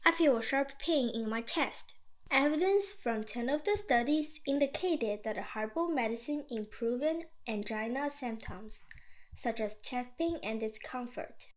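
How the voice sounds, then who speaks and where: High-voiced woman reading dictation. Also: expressive, unhurried. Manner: reads each sentence twice, evenly and clearly. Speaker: dictation reader.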